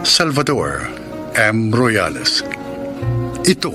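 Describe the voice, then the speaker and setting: Male drama announcer, formal scripted read, lively intonation, music bed. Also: lowish voice, drawn-out words. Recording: music under the voice.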